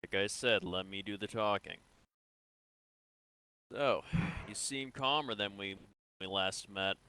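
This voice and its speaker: A man's voice: lowish voice, takes it slowly, sharp tone, smooth, no room sound, rough recording. A man playing an interrogator in an improvised online game roleplay, speaking in a conversational but assertive way.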